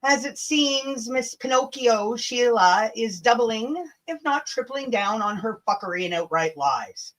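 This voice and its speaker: A woman's voice: a little high, lively intonation, a bit bright, poor audio. Sarcastic Canadian woman livestreaming. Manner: sarcastic, sweary, drawn-out emphasis. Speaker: livestream host. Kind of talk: casual, rambling livestream chat with mocking asides.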